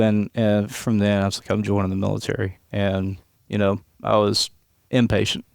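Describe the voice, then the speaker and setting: A man from Tennessee in his late thirties speaks in a fairly low, flat-toned voice that sounds smooth and clean. He tells his story in a relaxed way, with frequent chuckles and restarts. The recording is dry and close. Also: no echo. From West Tennessee, USA. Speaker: police aviation unit leader, guest. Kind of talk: casual podcast interview. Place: hangar office.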